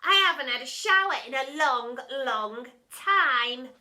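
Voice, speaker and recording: A woman's voice: high voice, expressive, slow pace, pushed and bright, full character voice. A woman voicing a cheeky, filthy schoolboy in a storybook, exclaiming with eager glee. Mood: delighted.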